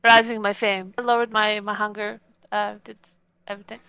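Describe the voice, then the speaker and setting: A woman's voice: medium pitch, level tone, brisk, creaky, sharp tone, clear, resonant tone, no echo. A woman chatting casually as a player at a tabletop roleplaying game, often questioning.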